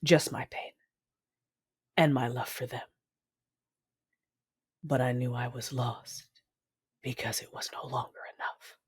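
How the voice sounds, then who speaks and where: A woman's voice: extremely low pitch, fairly even intonation, slow pace, pauses often, slightly rough, no room sound, heavily acted. An actress playing a hardened, fiercely loving warrior woman who narrates an audio drama in the first person with solemn intensity. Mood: anguish and rage.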